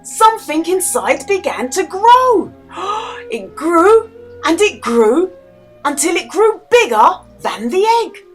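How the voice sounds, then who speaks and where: High-pitched, animated woman storyteller. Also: faint music behind. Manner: dramatic, exaggerated emphasis with deliberate pauses for young listeners. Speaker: children's storyteller and reader. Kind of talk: expressive children's storybook read-aloud with quiz.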